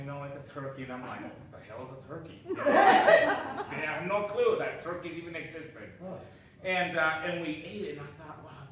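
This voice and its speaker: Man, from Chile, animated storytelling, distant mic, noisy echoey meeting room. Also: a little high. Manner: animated, laughs often, asks the room rhetorical questions. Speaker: club member giving an impromptu speech. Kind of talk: storytelling speech. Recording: echoey room, far from the mic, clear background noise.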